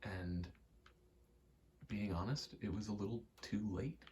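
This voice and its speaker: A young man giving a soft-spoken, halting, reflective ASMR ramble, telling personal stories in a hushed voice close to the mic. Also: fairly low pitch, fairly even intonation, slight background noise. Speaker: ASMR creator.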